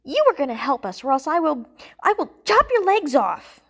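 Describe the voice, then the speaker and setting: A woman's voice: big pitch swings, slow, exaggerated voice. A woman voicing a furious, hot-headed woman in an audiobook story, spitting out aggressive threats. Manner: spitting, aggressive, raised pitch.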